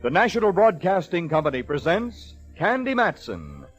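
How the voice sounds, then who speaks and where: A man's voice: sits high, huge pitch swings, moderate pace. A man announcing a program in dramatic 1940s radio style. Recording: dull band-limited old radio recording. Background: loud organ music.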